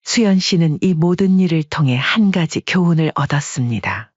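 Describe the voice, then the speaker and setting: Measured woman storyteller, husky voice, dry muffled read. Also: low voice, lively intonation, a little mellow, no room sound. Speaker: narrator. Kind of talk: scripted storytelling narration.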